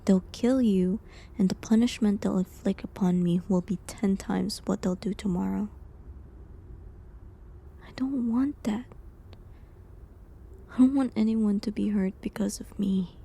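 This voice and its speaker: Actress as accused medieval witch, female, gentle and sorrowful, extremely breathy half-whisper, slow hesitant pleading, resigned, intimate roleplay drama. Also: mid-range voice. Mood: fearful, pleading. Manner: slow, hesitant, pleading, slightly formal old-fashioned wording.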